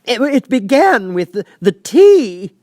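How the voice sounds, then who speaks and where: A man's voice: very high-pitched, very animated, slow, mellow, no room sound, clean studio sound, voice pushed up, heavily acted. A man voicing a wretched, nervous male witness in a storybook, stammering, hesitant and pleading. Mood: trembling, pleading.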